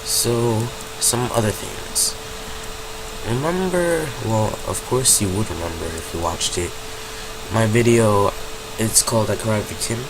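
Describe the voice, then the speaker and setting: A young man with a slightly low voice and a stuffy nose from a cold talks through his gameplay in a loose, rambling way, often correcting himself. His home desk mic picks up a constant loud hum and background noise. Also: lively intonation, a little slow. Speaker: gaming channel host. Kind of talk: casual gameplay commentary.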